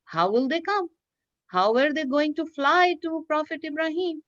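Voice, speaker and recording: A woman teacher reading a story aloud to young children online, explaining as she goes, repeating phrases and asking questions in an animated way. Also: sits high, normal speed. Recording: roomy, dull lo-fi online class audio.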